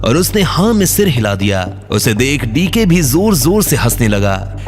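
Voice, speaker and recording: A man's voice: mid-range voice, sing-song, moderate pace, over music. A man narrating a scripted audio drama story in a dramatic storytelling style.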